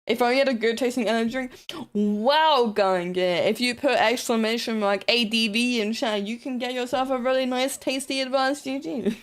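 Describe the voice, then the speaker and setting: A woman's voice: fairly high pitch, fairly even intonation, normal speed. A woman with a New Zealand accent, chatting casually and rambling on a live stream while she builds models, self-deprecating and swearing now and then.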